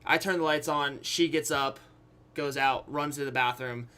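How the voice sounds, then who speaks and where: Bright-voiced young man telling a story. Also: on the high side, fairly even intonation, normal speed, clear, resonant tone. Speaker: YouTube storyteller. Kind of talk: casual, candid personal storytelling to camera.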